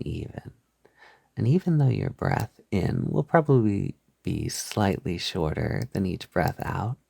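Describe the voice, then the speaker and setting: A man leading a soft-spoken guided hypnosis, soothing and reassuring, gently repeating his phrases on a close mic. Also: fairly low pitch, creaky, breathy edge, no room sound. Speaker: hypnosis audio creator guiding the listener.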